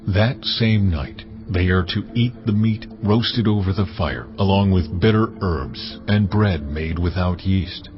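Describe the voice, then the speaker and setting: An actor voicing God as a commanding divine male figure, giving measured, authoritative decrees in a dramatized audio Bible. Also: deep voice. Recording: dull lo-fi sound with clear music.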